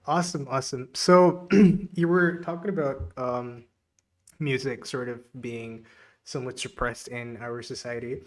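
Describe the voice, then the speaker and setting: A man's voice: mid-range voice, steady tone, unhurried. A man from Pakistan, hosting a podcast and asking questions in a hesitant way, with many fillers. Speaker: podcast host. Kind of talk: podcast interview.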